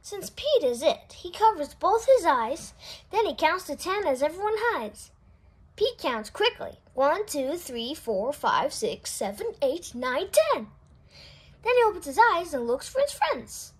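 A woman reading a children's picture book aloud in an expressive, sing-song way. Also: high voice, unhurried. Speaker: storybook reader. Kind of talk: children's read-aloud narration.

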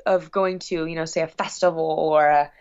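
A woman's voice: low-pitched, fairly even intonation, normal speed, a little mellow, clean tone. Woman musician chatting, slightly dull audio. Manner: long-winded, rambling and earnest. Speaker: guest musician. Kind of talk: casual interview chat.

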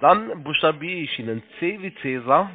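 A man working as a call-centre agent, giving halting step-by-step instructions, repeating himself and spelling things out. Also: on the high side, expressive. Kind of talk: step-by-step phone sales instructions. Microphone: telephone. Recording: tinny narrow phone line.

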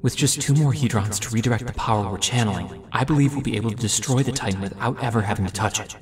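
A man's voice: lowish voice, fast talker, recorded from a distance, soft background music, natural voice. A man playing an analytical, confident mage who rambles through clinical explanations in a fantasy audio drama. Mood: calculating, eager. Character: analytical, confident young male scheming mind mage.

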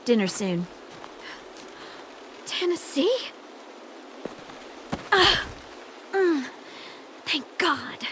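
A woman's voice: high-pitched, very animated, extremely slow, pauses often, noisy background, full character voice. A woman playing a caring, protective young woman in a video game drama, with a folksy, fussing manner. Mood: caring, relieved.

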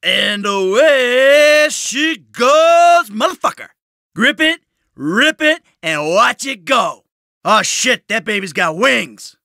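Video game role: weary middle-aged American ex-crook, sarcastic and short-fused, forceful bright tone, unhurried delivery. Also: male voice, very high-pitched, big pitch swings, vocal fry, no room sound, studio quality, exaggerated voice. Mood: gloating. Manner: sarcastic, grumbling, foul-mouthed. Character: weary, sardonic, hot-tempered middle-aged retired male criminal. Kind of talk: acted video game voice lines.

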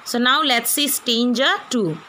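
A woman's voice: fairly high pitch, unhurried, pushed and bright, a little hiss or hum. A woman teacher with an Indian English accent, giving an online class and explaining a poem to students, asking them questions as she goes.

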